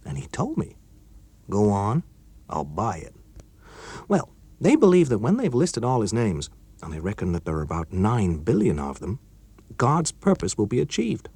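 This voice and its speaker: A man's voice: lowish voice, wildly swooping pitch, normal speed, pauses often, darkish tone, husky, dry recording, full character voice. A man reading a story, voicing an urgent, nervous young male technician in a raised, jumpy voice.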